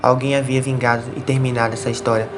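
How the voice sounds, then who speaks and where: A man narrates an audio drama from a script. His voice sits in the middle register, and loud music runs prominently beneath it. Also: medium pitch, little pitch movement, fast, low-quality recording.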